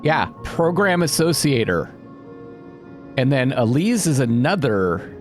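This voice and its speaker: A man's voice: medium pitch, big pitch swings, slow pace. A man doing gameplay commentary, thinking aloud and exclaiming as he reads on-screen text. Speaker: let's play host. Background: atmospheric game music.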